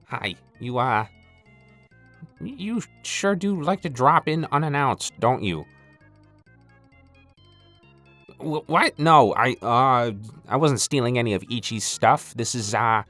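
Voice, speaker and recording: A man's voice: medium pitch, fairly bright tone. A man playing a cocky, goofy intruder who has hijacked an audio show, stammering and rambling irreverently as he addresses the listener. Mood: caught out, awkward. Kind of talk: comedic monologue addressed to the listener.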